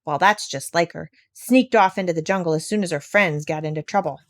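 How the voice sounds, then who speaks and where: A woman's voice: lowish voice, a little fast, no echo, natural voice. A woman voicing a grumbling, suspicious crocodile from a storybook. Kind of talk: storybook read-aloud character voice.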